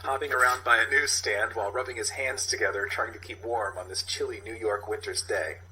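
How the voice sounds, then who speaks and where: A man's voice: on the low side, steady tone, a little fast, sharp tone, slight background noise, poor audio. A man co-hosting a comedy podcast, chatting casually and reading a script aloud. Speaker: podcast co-host. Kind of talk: casual comic chat and script read-aloud.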